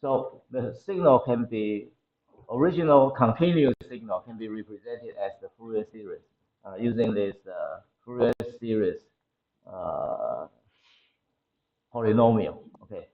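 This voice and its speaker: A man with a Taiwanese accent lecturing to a class, explaining technical material haltingly and often repeating his phrases. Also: fairly low pitch, narrow, tinny audio. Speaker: university lecturer. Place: indoor classroom.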